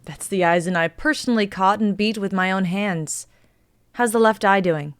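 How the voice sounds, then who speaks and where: A woman's voice: mid-range voice, moderate pace, clean tone, no echo, natural voice. A woman reading the part of a tough, threatening female ship captain in a live dramatic reading, commanding and mocking.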